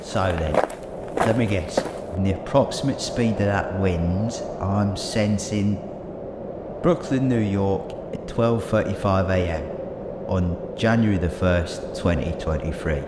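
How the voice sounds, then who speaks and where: A man's voice: sits low, a little slow. A man playing an eccentric, earnest, reassuring time-travelling hero in an amateur audio drama. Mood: musing. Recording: echoey amateur audio drama, very noisy background.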